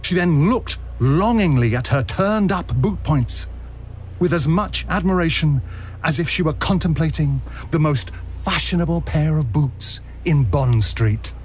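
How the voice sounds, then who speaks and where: A man's voice: fairly high pitch, unhurried, slight background noise, natural voice. A man narrating a ghostly tale for an audio drama in a theatrical storytelling manner.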